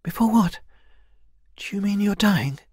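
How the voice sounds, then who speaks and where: A man voicing a young woman warrior, earnest and anxious but determined, with a breathy delivery in an audiobook. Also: high voice, expressive, measured pace, darkish tone, dry recording, studio quality, voice pushed up, exaggerated voice. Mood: distressed.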